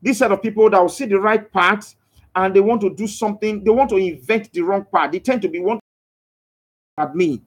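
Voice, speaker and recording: A man's voice: high voice, a little fast. Preachy man exhorting a live audience. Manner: exhortative, repeats phrases for emphasis; reads out and answers viewer comments. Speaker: live broadcaster promoting a crypto scheme. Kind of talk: motivational live broadcast, preachy.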